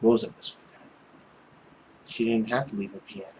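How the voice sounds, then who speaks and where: A man's voice: on the low side, fairly even intonation, moderate pace, slight background noise, low-quality recording. A man reading a visual novel aloud dramatically as a let's player, with commentary of his own.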